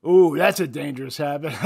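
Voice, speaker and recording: An actor playing a smug, evasive, adulterous CIA agent in a radio play, stammering at times. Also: male voice, fairly high pitch, expressive, unhurried, vocal fry, breathy edge, exaggerated voice. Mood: smug, flirtatious. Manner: flirtatious, hesitant and stammering. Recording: radio drama with music underneath.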